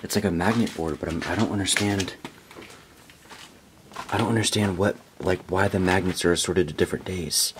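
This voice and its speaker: A young man with a deep voice talks his way through an abandoned building, chatty and easily excited. His on-camera sound carries clear background noise. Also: normal speed. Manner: excitable, chatty, thinks aloud. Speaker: urban explorer host. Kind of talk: casual exploring vlog. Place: abandoned newspaper building indoors.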